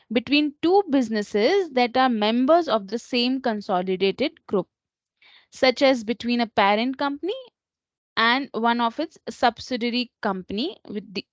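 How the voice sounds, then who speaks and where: A woman presenting an instructional slide lesson as a software trainer, explaining in a slightly halting way. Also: on the high side, no room sound. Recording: dull lo-fi close recording.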